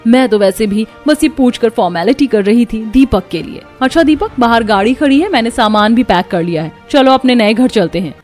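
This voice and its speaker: Woman putting on a snooty high-voiced young wife, sharp and loud, light music under. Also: animated, brisk, hardly any pauses, lightly acted. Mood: haughty. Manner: sharp, haughty, raised voice. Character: proud rich young wife, haughty and scornful.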